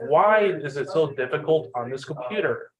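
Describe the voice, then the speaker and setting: A man's voice: a little high, animated, normal speed, a crowd in the background, low-quality recording. A young man hosting a workshop, talking in a casual, offhand way. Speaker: workshop host. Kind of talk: casual, offhand pre-meeting talk.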